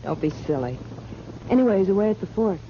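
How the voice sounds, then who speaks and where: A woman's voice: medium pitch, sing-song, quick pace. An actress playing a coy, guarded young saloon girl in a radio western. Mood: coy, casual. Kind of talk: 1950s radio western acting. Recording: muffled, noisy old radio recording.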